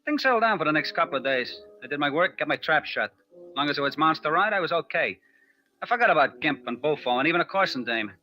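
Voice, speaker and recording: An actor playing a guarded, tough young racetrack loner in old-time radio drama, speaking in terse, slangy, clipped phrases. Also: male voice, on the high side, lively intonation, quick pace, highs cut off, soft background music, lightly acted. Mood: hard-boiled narration. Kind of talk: old-time radio drama acting, hard-boiled narration.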